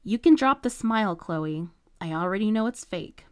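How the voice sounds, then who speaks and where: A woman reading as a calm stern teacher. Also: medium pitch, clear, resonant tone, no room sound, lightly acted. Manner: calm, measured, gently firm. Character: patient adult female teacher, stern but kind.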